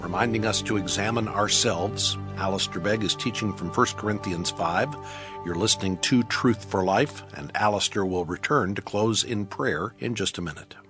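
A man announcing a radio ministry program, reading a scripted, measured script. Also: lowish voice, over music.

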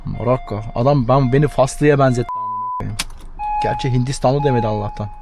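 A man's voice: medium pitch, wildly swooping pitch, quick pace, music in the background. A man from Turkey with a Turkish accent, a locksmith chatting informally with a customer and often repeating himself. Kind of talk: casual chat with a customer. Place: on a job at a customer's vehicle. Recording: noisy on-the-job recording near vehicles.

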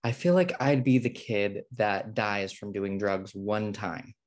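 A man's voice: on the low side, takes it slowly. A man playing a smart, fussy, neat-freak twin brother with a dry, prim manner in a comedy table read. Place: home room, video call.

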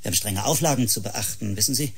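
An actor playing a courteous, placating professor who leads a space project, in a science-fiction radio drama. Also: male voice, fairly low pitch, gravelly. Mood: polite, placating. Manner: polite, measured, placating.

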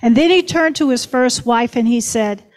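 A woman's voice: a little high, normal speed. A woman history professor giving an earnest, emphatic storytelling talk on a panel.

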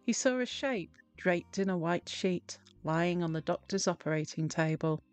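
A woman narrating a children's storybook in a deliberate, expressive way. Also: lowish voice, soft background music. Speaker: storybook reader.

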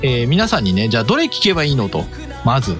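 A man's voice: medium pitch, wildly swooping pitch, fast, over music. A man in his thirties co-hosting a podcast, giving a review and reading out a quiz. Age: 30s. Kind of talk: casual podcast chat.

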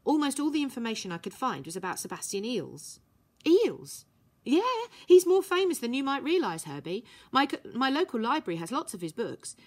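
Woman doing an excitable young girl. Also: moderate pace, husky, full character voice. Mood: eager. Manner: breathless, emphatic, high and excitable. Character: earnest young girl, runaway, eager and anxious.

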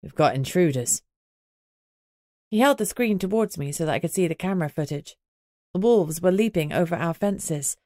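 A woman's voice: fairly low pitch, animated, a little fast, mellow, no room sound, clean studio sound. A woman reading scripted first-person audiobook narration.

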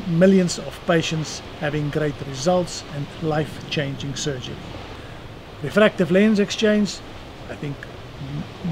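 South African man in his sixties, measured. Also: on the high side. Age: 60s. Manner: measured, dry self-deprecating humor. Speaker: eye surgeon guest. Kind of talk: seated interview answers. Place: outdoor terrace by the sea. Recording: outdoor noise behind voice.

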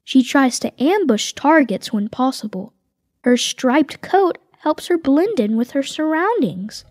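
Slow, sing-song woman reading aloud. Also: high voice, lively intonation, darkish tone, no room sound, studio quality. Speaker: reader voicing tiger cubs. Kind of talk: children's picture book read-aloud, slow and expressive.

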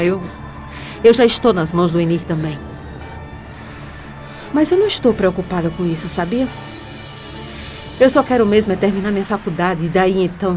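An actress with a Brazilian accent playing a defiant, self-righteous young student in a theatrical, taunting radio soap style. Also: female voice, mid-range voice, a little mellow, lightly acted. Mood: pleading, weary. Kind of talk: radio soap opera acting.

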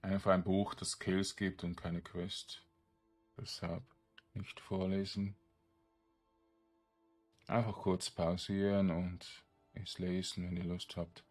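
A man's voice: sits low, little pitch movement, takes it slowly, long pauses, darkish tone, faint music behind. A man streaming a game, commenting informally to himself and his viewers and reading out game text. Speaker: gaming streamer, let's player. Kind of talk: casual game commentary with some read-aloud game text.

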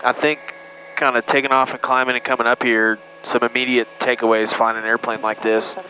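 A man in his thirties hosts a flight vlog in a casual way, with an average-pitched voice. He speaks into a headset in a small cockpit, slightly muffled, with a faint engine drone behind him. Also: flat delivery, brisk, pushed and bright, slight background noise. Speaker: pilot and vlog host. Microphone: aviation headset boom mic.

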